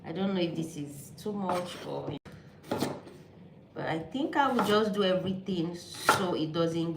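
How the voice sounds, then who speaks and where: A woman from Nigeria talks in a low, unhurried voice while she cooks at home. She hesitates, repeats words and corrects herself. The kitchen is echoey and noisy, with chopping behind her. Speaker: vlogger. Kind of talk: casual cooking vlog chat. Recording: echoey kitchen with background noise.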